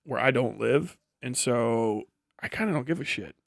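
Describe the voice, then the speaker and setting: Casting: male streamer, mid-pitched, blunt and sarcastic, swears freely, casual commentary, close dry sound. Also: animated, normal speed, vocal fry, no room sound. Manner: profane, blunt; sarcastic, acts out mock back-and-forth dialogues. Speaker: livestream host and commentator. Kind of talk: casual political commentary, riffing to live chat.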